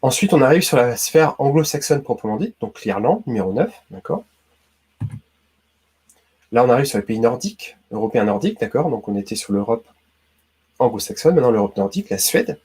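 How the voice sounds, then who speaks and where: A man's voice: medium pitch, expressive, a little fast, darkish tone, breathy edge. A man from Brittany hosting a casual quiz video, chatty and talking straight to the viewer with lots of "hein" and "d'accord". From Brittany, France. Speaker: YouTube host.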